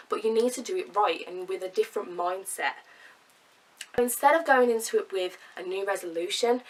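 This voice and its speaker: A woman's voice: mid-range voice, fairly bright tone, far from the mic, poor audio. A young woman with a cold and a nasal voice, talking earnestly to camera in a chatty vlog. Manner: chatty, earnest, with fillers and laughs. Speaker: vlogger. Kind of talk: casual talk to camera.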